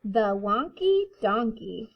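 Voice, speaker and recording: A woman kindergarten teacher reading a children's picture book aloud in a playful, sing-song way with drawn-out words. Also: a little high, sing-song, vocal fry, muffled recording.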